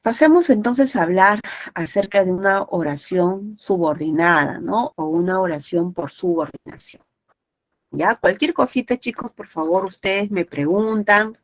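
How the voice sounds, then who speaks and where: A woman's voice: medium pitch, lively intonation, a little slow. A woman teaching an online class with a nose congested from a cold, explaining didactically and often checking understanding. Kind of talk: explanatory lecture with frequent check-in questions.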